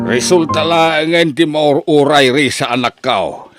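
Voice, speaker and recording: A man with an Ilocano accent acting a proud, hot-tempered father in a radio drama, with emphatic, drawn-out delivery. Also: a little high, a bit bright, soft background music. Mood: proud, hopeful.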